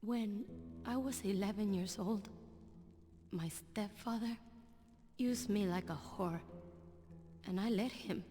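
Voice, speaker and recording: A woman's voice: medium pitch, slow pace, pauses often, mellow, in a large hall, soft background music, close to an everyday voice. An actress playing a world-weary, wounded woman, grave and confiding in a game cutscene. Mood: bitter confession. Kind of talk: video game cutscene acting.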